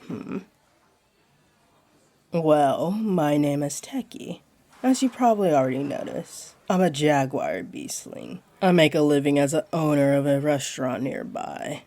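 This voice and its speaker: A woman voicing a flirty, comforting female jaguar beastling in a roleplay audio, with a deep, mellow voice and a teasing, soothing manner. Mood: inviting, playful.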